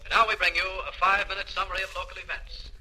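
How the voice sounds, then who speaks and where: An actor playing a brisk, formal 1940s news announcer, heard through a radio set. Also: male voice, high-pitched, little pitch movement. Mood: brisk, official. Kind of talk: 1940s radio newscast acting. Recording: noisy, thin vintage radio recording.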